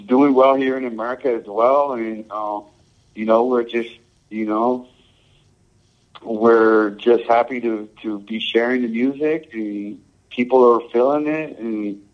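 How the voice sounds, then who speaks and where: A man's voice: medium pitch, steady tone. Laid-back man, slightly muffled recording. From Samoa and Hawaii. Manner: laid-back, halting, full of "um" and "you know". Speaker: reggae musician guest. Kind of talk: casual interview answers.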